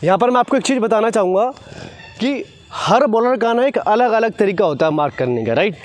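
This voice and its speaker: A man's voice: high-pitched, lively intonation, brisk, no echo. A man, explaining cricket technique like a coach, often checking in with short tag questions. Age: 20s. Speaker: cricket fast-bowling coach, presenter. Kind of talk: instructional explanation to camera. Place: open grassy cricket ground, outdoors. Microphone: lapel mic.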